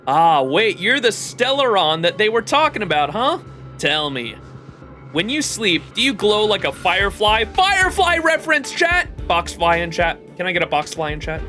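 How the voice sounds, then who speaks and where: A man's voice: high-pitched, big pitch swings, moderate pace, sharp tone, faint music behind. A male live streamer reading game text aloud expressively, with his own commentary mixed in.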